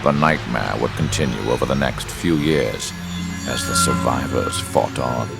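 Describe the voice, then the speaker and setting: Extremely deep, gravelly, ominous narrator. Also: male voice, loud music behind the voice, heavily acted. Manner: solemn, measured. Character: grave, ominous male narrator of a horror chronicle. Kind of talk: scripted dramatic narration.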